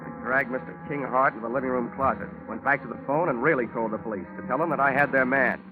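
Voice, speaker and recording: A man's voice: medium pitch, fast talker, natural voice. A man acting a wry, cool-headed American insurance investigator who narrates a hard-boiled 1950s radio detective drama. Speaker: lead actor and narrator. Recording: tinny old radio broadcast with music.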